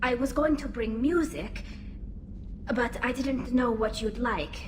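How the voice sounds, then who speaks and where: Woman playing an earnest, high-voiced young alien engineer. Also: unhurried, background noise, natural voice. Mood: nervous, babbling. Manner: earnest, expressive, nervous. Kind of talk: video game voice acting.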